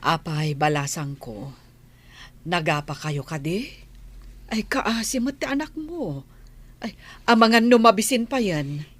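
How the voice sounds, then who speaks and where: Slow, low, concerned older woman acted by a woman. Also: lively intonation. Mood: caring, concerned. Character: kind, concerned older woman helping a young mother. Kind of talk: audio drama dialogue. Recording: clear background noise.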